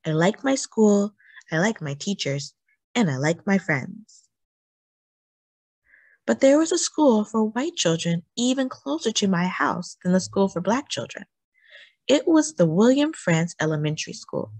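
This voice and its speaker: A woman reads a story aloud for children in a slightly low, husky voice. Her intonation is animated and expressive, with deliberate emphasis on phrases. The sound is dry and close, with little room echo. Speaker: children's book reader.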